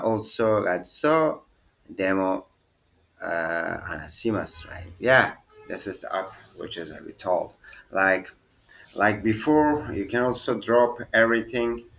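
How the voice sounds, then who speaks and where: Slow, halting man teaching a lesson. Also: fairly low pitch, gravelly, fairly bright tone. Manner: halting, thinks aloud, restarts and fillers. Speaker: language teacher. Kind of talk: casual screen-share lesson.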